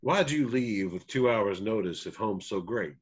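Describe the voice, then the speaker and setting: A man reading the part of a laconic, rootless country musician in a table read, terse and folksy, with a husky voice. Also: lowish voice, animated, unhurried, lo-fi sound. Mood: guarded.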